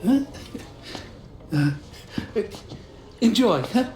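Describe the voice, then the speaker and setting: An actor playing an effusive, fussing Italian restaurant owner in a video game, eager to please. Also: male voice, high-pitched, expressive, very slow, darkish tone, slight background noise, full character voice. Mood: eager, fawning. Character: fawning, excitable Italian male restaurant owner. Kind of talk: cinematic game acting. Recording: roomy sound with music behind.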